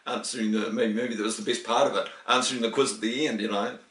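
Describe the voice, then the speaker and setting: A man's voice: lowish voice, fairly even intonation, quick pace, a bit bright, distant mic. A New Zealand man in his late fifties, answering interview questions in a rambling, chatty way with small laughs. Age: 50s. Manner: rambling, with restarts and chuckles. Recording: roomy, voice from the mic.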